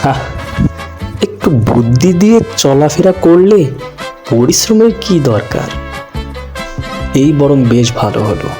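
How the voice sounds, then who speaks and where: A man playing a sly, flattering village man in a cartoon, with an ingratiating, rambling way of talking. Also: on the high side, a little slow, long pauses, over music. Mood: smug, scheming. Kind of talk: cartoon voice acting.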